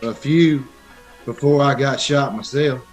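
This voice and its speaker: An American man playing a simple, timid old soldier drifter in a radio Western, meek and halting. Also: mid-range voice, fairly even intonation, faint music behind, natural voice. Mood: artless. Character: simple, timid, homely Yankee veteran drifter.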